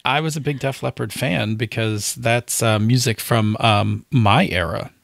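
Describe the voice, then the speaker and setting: A man's voice: medium pitch, unhurried, vocal fry, no echo, studio quality. A man in his forties chatting casually as a podcast co-host. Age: 40s. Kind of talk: casual chat.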